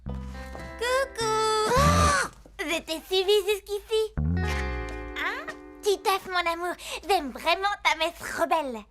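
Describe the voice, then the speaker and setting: A woman's voice: extremely high pitch, animated, takes it slowly, long pauses, fairly bright tone, faint music behind, exaggerated voice. A woman voicing a tiny lovesick little girl in a French cartoon, childlike, sweet and stubbornly insistent. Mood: adoring.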